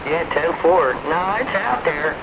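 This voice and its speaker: A man's voice: a little high, animated, takes it slowly. A man talking casually as an operator on a CB radio. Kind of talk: casual chat over CB radio. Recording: very noisy, staticky CB radio transmission.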